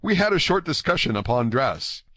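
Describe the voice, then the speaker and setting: Male reader as bold young storybook gallant: higher-pitched, earnest, very polite, animated intonation. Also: moderate pace, dry recording. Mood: spirited, courteous. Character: eager, courteous, bold young Gascon gentleman. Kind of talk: audiobook character dialogue.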